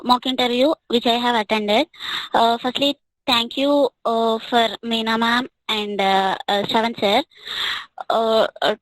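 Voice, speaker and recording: A woman's voice: a little high, no echo, rough recording. A young woman, giving a hesitant talk about a mock interview with many fillers and restarts. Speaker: student speaker.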